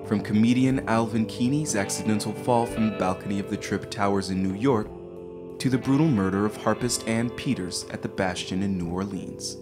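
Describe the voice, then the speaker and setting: Solemn male narrator for macabre audio drama, acted, low register, music bed underneath. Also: close to an everyday voice. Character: solemn male storyteller introducing a macabre hotel mystery.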